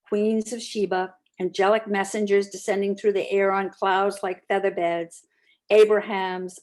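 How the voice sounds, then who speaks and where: A woman reading classic literature aloud as narrator, with expressive, deliberate dramatic storytelling. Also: mid-range voice. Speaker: narrator, community reader.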